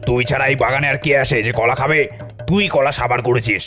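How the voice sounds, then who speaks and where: A man's voice: mid-range voice, brisk, sharp tone, faint music behind, close to an everyday voice. A man voicing a hot-tempered, bossy village uncle in a cartoon, scolding and suspicious. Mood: angry, accusing. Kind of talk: cartoon voice acting.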